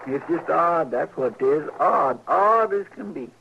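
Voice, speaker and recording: A man's voice: on the high side, lively intonation, measured pace, creaky. A man with a rural American accent playing a simple, kindhearted marshal's sidekick in an old-time radio drama, plain-spoken, drawling and deferential. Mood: puzzled. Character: folksy, simple, kindhearted marshal's helper in a western. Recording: muffled, noisy vintage radio recording.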